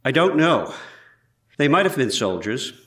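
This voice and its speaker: A man playing an 18th-century surgeon who gives measured, precise testimony in a scripted trial re-enactment. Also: medium pitch, sing-song, fairly bright tone. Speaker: actor in a historical trial re-enactment. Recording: roomy echo, distant from mic.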